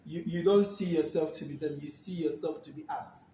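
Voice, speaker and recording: Soft-toned Ghanaian man, echoey. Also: a little high, fairly quick, recorded from a distance, a little hiss or hum. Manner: thoughtful, hesitant, repeats words. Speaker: guest. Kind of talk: casual conversation. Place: room, recorded on a phone.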